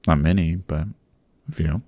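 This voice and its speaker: A man playing a courteous, chatty old vampire in an ASMR roleplay, speaking in genteel, old-fashioned phrases with a deep, gravelly, husky voice. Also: moderate pace, a little mellow, no echo. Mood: amused, chatty. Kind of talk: ASMR roleplay, interview monologue. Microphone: close microphone, dry.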